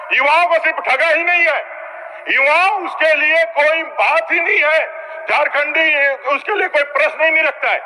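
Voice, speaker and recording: Man, very sharp forceful voice, impassioned assembly speech, accusatory questions, long hall reverb. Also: very high voice. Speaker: legislator in opposition. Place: large legislative chamber.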